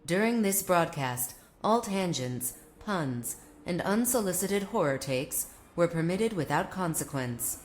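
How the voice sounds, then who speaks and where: A woman playing a solemn emergency broadcast announcer, reading a formal, deadpan parody script in measured phrases. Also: lowish voice, slight background noise. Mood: solemn, official.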